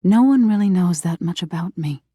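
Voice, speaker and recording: A woman voicing an eerie, thoughtful nightmare spirit in a video game, measured and breathy, often slipping toward a whisper. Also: fairly low pitch, expressive, mellow, no echo, clean studio sound. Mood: musing, softly menacing. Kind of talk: scripted game character acting, intimate second-person storytelling.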